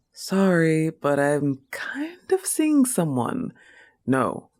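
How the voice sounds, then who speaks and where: A woman's voice: rumbling low, a little mellow, full character voice. A woman voicing a protective, affectionate young goth wolf-girl girlfriend in an intimate audio roleplay, coaxing and reassuring the listener with a firm manner. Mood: coaching, firm.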